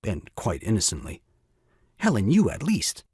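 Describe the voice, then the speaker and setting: A man's voice: on the low side, wildly swooping pitch, moderate pace, mellow, slightly rough, no echo, clean studio sound, exaggerated voice. A man playing a flustered, indignant young new father in a comic stage farce, blustering and exclaiming.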